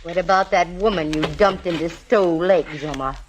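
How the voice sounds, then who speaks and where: A woman's voice: on the low side, normal speed, a little hiss or hum, natural voice. An actress playing a plucky, wry female detective bantering in an old radio drama. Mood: accusing. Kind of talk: 1940s radio drama acting. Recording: vintage radio recording.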